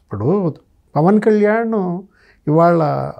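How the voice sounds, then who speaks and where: High-pitched, mellow middle-aged man. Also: lively intonation, slow, gravelly, lo-fi sound. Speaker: senior journalist, guest analyst. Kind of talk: conversational political commentary. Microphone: lapel mic.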